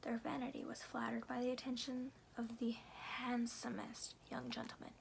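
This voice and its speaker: A woman reading a storybook aloud in a soft, breathy ASMR whisper, phrasing slowly and deliberately with careful pauses. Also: on the high side, steady tone, a little hiss or hum.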